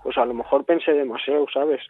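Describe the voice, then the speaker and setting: A man's voice: mid-range voice, fairly even intonation, fast talker, a crowd in the background. A man with an accent from Spain, arguing bluntly and defiantly on the telephone, with swearing. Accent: Spanish, from Spain. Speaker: prank call victim. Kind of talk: heated phone argument. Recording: dull muffled telephone line.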